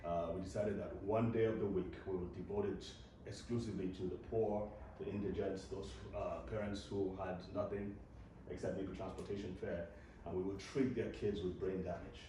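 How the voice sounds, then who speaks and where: Deep-voiced man talking in an echoey hall. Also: normal speed. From Nigeria. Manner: relaxed, repeats words, chuckles often. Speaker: doctor giving a talk. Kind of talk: live talk to an audience. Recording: echoey hall with distant mic and noise.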